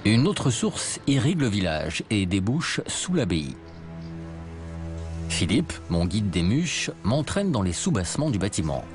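A man's voice: on the low side, very animated, a little slow. A man reading scripted first-person narration for a travel documentary. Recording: music bed under voice-over.

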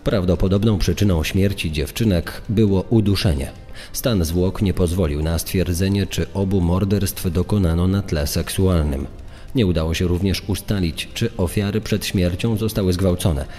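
Guy doing a grave crime report voice, really deep, drenched in reverb. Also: moderate pace, mellow, lightly acted. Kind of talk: formal scripted report narration. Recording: long reverb, music underneath.

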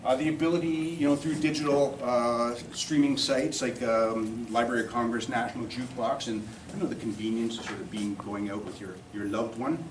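A middle-aged man giving an informal talk in a halting, rambling way. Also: mid-range voice, fairly even intonation. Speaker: co-presenter at a society meeting. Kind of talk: informal, loosely scripted talk. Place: classroom or lecture room, indoors. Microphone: far camera. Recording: echoey, distant, noisy camera recording.

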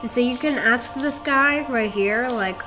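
A woman's voice: a little high, measured pace. A woman giving a casual game walkthrough, talking the viewer through steps in a rambling, self-correcting way. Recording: game music playing behind the voice.

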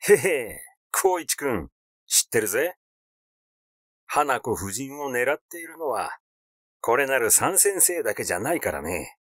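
A man's voice: mid-range voice, sing-song, a little slow, a bit bright, dry recording, clean studio sound. A man acting a glib, mocking young man. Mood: sly, insinuating. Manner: glib, teasing, sardonic. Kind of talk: acted dialogue in an audiobook.

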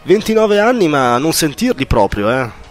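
A man's voice: a little high, very animated, normal speed. A man hosting a casual radio interview in an informal, encouraging, chatty way. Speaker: radio show host interviewing a guest.

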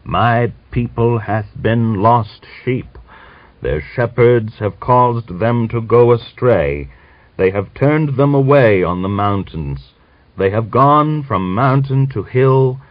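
A man voicing a pious, bitter old man of nearly a hundred, who scolds and preaches and reads scripture slowly and solemnly. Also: mid-range voice, no room sound, voice pushed up, natural voice. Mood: solemn reading. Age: older. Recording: dull dry recording.

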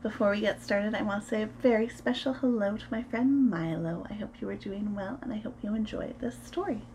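A woman in her thirties reading a picture book aloud to preschoolers in an expressive, sing-song way, as host of a story time. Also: mid-range voice, a little fast, slight background noise, low-quality recording. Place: small room at home, indoors.

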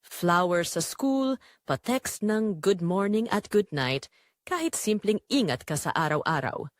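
A woman playing a betrayed young wife in an audio drama, narrating her heartbreak in earnest, measured, confessional phrases. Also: medium pitch, very animated, no room sound, clean studio sound. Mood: wounded, reflective. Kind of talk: scripted first-person dramatic narration.

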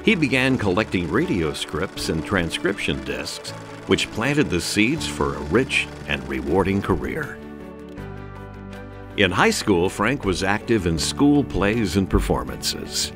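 A man's voice: low voice, sing-song, slow pace, music in the background. A man reading scripted narration for a documentary.

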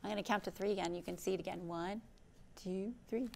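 A woman in her fifties hosting a children's storytime, speaking gently and expressively in simple phrases, with questions for young listeners. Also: mid-range voice, fairly even intonation, quick pace, gravelly. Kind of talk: read-aloud storytime for young children, with chat and song. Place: indoor library program room.

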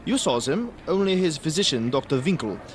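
An actor playing an evasive, courteous Viennese baron in a radio drama, with an Austrian German accent and a hesitant, ingratiating manner. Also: male voice, on the high side, very animated, brisk, a little hiss or hum. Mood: smoothly courteous.

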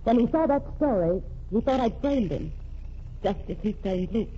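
An actress playing a sharp young woman reporter who calmly accuses a killer, in a 1940s radio drama. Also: a little high, lightly acted. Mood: explaining. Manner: cool, accusing, deliberate. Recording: dull old radio recording with faint hiss.

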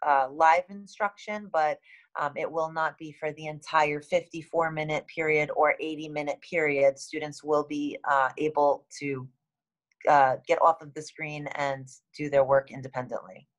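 A woman in her thirties with a halting, matter-of-fact manner, reading and answering questions as a school administrator on a video call. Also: sits low, fairly even intonation. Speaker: school administrator hosting a town hall. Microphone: video call, computer microphone.

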